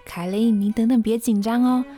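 A woman's voice: on the high side, fairly even intonation, normal speed, warm tone, soft background music, natural voice. A woman with a Taiwanese Mandarin accent playing a precise, matter-of-fact, nerdy young woman in a podcast radio drama. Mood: precise, confident.

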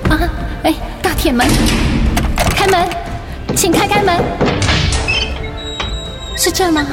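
Casting: weary, stubbornly independent young mother, Taiwanese Mandarin, radio play, clearly audible music underneath. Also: extremely high pitch, takes it slowly. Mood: frightened, lost. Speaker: voice actor in a radio drama. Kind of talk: dramatic radio play acting.

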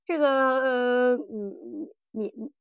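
A woman's voice: a little high, drawn-out words, clear, resonant tone, no echo, lo-fi sound. A woman hosting a podcast in casual chat, often repeating words and restarting as she explains. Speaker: podcast host. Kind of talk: casual chat reviewing audio dramas.

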